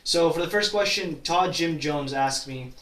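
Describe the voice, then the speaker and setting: A man's voice: on the high side, unhurried, fairly bright tone. A young man from Kazakhstan answering viewer questions in a casual vlog, rambling with many restarts and fillers. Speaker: YouTuber. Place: at his grandmother's town in Kazakhstan. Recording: roomy echo, distant from mic.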